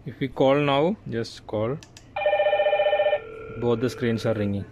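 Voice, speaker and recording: A man's voice: mid-range voice, slow pace. A man giving a hands-on, step-by-step tech demonstration in short, plain instructions, recorded indoors on a handheld phone. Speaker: tech tutorial presenter. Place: indoors at an equipment workbench. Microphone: handheld phone camera mic. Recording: clearly noisy room ambience on handheld recording.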